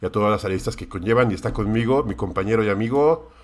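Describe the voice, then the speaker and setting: A man's voice: on the low side, fast talker. A man hosting a podcast, introducing a guest with many restarts and hesitations. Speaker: podcast host. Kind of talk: podcast interview.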